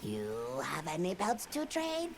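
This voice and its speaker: A woman's voice: medium pitch, wildly swooping pitch, measured pace, vocal fry, noisy background, heavily acted. A woman voicing a small, furry, self-important creature trader in a video game, with a cartoonish voice and pompous broken English full of mangled big words. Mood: haggling. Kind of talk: video game cutscene acting. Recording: music behind the voice. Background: game music.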